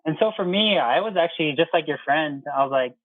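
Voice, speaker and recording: A man's voice: on the high side, expressive, no room sound. American guy in his 30s, casual interview answers, keeps restarting, dry muffled lo-fi sound. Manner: explanatory, halting, often rephrasing. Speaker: interview guest, air traffic controller.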